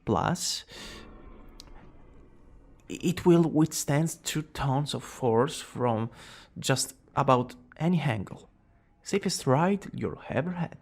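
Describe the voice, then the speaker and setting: Guy doing a smug teasing young villain for asmr romance, slow n drawly, bit of gravel in the voice. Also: mid-range voice, expressive, darkish tone, exaggerated voice. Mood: boastful, playful.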